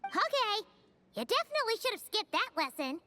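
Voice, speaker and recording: A woman voicing a cheerful, childlike little fairy companion in a video game, with a squeaky voice and a peppy manner. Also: sing-song, fairly quick, sharp tone, exaggerated voice. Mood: wry. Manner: peppy, refers to herself in third person. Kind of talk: animated video game character acting. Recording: music behind the voice. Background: game music.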